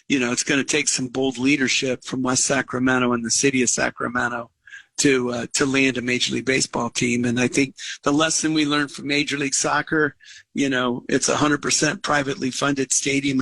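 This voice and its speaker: A man gives interview remarks as a business executive. His voice is husky with heavy creak and fairly even in pitch. He argues his case in a measured, earnest way. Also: no room sound. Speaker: economic council executive interviewed on radio.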